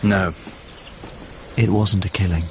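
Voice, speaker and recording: An actor playing a thoughtful painter's model, narrating a radio drama in measured, descriptive phrases. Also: male voice, low voice, fairly even intonation, noisy background. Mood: wistful recollection. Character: thoughtful male painter's model grieving a lost friend. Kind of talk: radio drama, reflective first-person narration. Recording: muffled audio with music underneath. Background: music.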